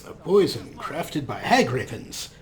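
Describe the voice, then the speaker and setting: A man's voice: mid-range voice, very animated, a little slow. A man hosting a let's play, giving sarcastic, playful commentary and role-playing his character. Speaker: host.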